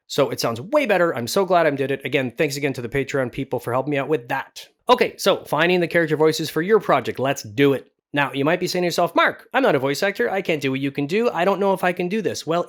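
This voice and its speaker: A man talking casually and encouragingly as a voice actor giving instruction, in a medium-pitched voice. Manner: encouraging, informal.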